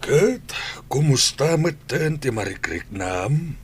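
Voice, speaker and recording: A man's voice: mid-range voice, sing-song, slow, a little hiss or hum. A man with a Filipino accent playing a worried, stern old grandfather in a radio drama, speaking in an admonishing way. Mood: stern concern.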